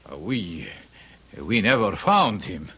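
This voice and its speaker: A concerned, devout elder in a vintage radio play. His voice is husky and bright-edged, with very animated swoops of pitch and an earnest, halting delivery. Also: full character voice. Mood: earnest, hesitant. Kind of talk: old-time radio drama acting.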